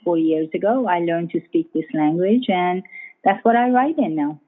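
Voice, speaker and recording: A middle-aged woman from Iran, speaking English as a later language, talking hesitantly with many pauses as a guest author. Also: lowish voice. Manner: hesitant, many ums, laughs easily. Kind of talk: casual interview chat. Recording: tinny phone-like line.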